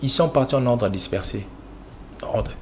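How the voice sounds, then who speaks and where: A man's voice: mid-range voice, normal speed, a crowd in the background. A man from the Congo speaking as a political analyst in an interview, lecturing with many fillers and restarts. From Congo (DRC). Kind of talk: interview answers.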